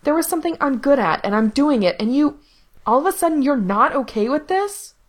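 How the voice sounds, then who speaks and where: A woman voicing an anxious young man who pleads with his brother in a high, strained voice. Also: lively intonation, normal speed. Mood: pleading.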